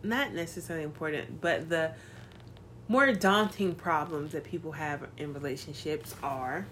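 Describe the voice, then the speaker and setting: Lowish-voiced woman in her 30s, teasing, slangy, light hiss. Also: moderate pace, fairly bright tone. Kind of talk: casual chat.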